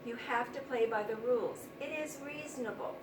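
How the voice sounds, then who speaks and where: A woman teaching young children, explaining things simply and deliberately with plenty of repetition. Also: fairly high pitch. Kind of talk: read-aloud vocabulary lesson for young children. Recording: roomy, distant mic, noisy background.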